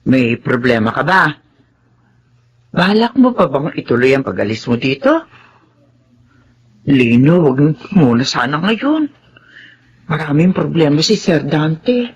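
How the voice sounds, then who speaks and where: A woman's voice: low-pitched, lively intonation, unhurried, long pauses, husky, full character voice. A woman voicing a motherly, inquisitive older household cook in a Filipino radio drama. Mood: concerned. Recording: dull, noisy recording with ambience.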